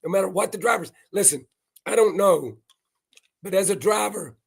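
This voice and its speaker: Hoarse older man analyzing a race. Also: high-pitched, normal speed. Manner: folksy, emphatic, rambling. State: hoarse, losing his voice. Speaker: racing analyst. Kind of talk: live post-race analysis.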